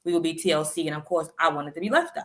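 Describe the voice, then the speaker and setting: Rapper guest, female, 30s, low pitch, lively storyteller. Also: racing. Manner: animated, chatty storyteller. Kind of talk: interview storytelling.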